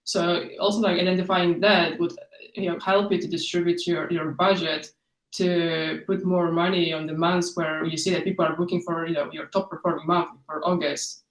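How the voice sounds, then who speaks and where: A woman's voice: on the low side, little pitch movement, moderate pace. A woman student answering questions on a video call, explaining informally in a hesitant, searching way. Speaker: student presenter taking questions. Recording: echoey room, distant microphone.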